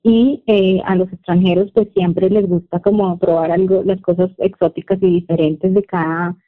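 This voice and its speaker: A Colombian woman, a Spanish teacher, chatting relaxedly as an interview guest, pausing often on "eh". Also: fairly low pitch. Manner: relaxed, with frequent "eh" pauses. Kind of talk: casual interview chat. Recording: tinny phone-like line.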